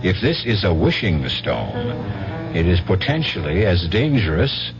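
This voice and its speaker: A man narrating as the grave, measured, portentous host of a 1970s radio mystery series. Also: low-pitched, very animated, full character voice. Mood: ominous. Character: grave, ominous storyteller host of mystery tales. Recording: muffled 1970s radio broadcast over music.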